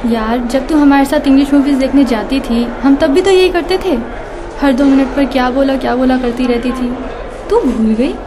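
A woman's voice: sits high, normal speed, lightly acted. A woman playing a friendly, persuasive young college student in casual radio drama slang. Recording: noisy, crowded classroom ambience behind.

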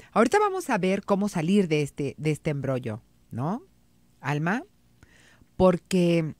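A middle-aged Mexican woman hosting an advice radio show from a studio, calm and reassuring, with a head cold. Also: low voice, dry recording. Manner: calm, reassuring, reads listener messages aloud. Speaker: radio psychologist host. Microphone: studio mic on boom arm.

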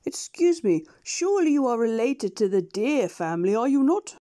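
A kindly, absent-minded older doctor, put on by a woman reading aloud, speaks in a soft, mild voice that sits a little high. He hesitates and mumbles, gentle rather than commanding. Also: big pitch swings, moderate pace.

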